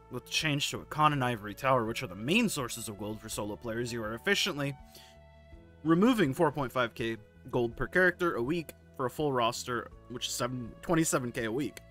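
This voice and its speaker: Hoarse man doing laid-back game commentary. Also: mid-range voice, a bit bright. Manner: laid-back, candid, occasional swearing. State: hoarse, sore throat. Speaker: gaming video host. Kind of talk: casual commentary reading forum posts.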